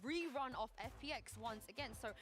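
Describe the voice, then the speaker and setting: A woman's voice: high voice, a little fast, soft background music. A woman hosting an esports broadcast desk, upbeat and handing questions off to analysts.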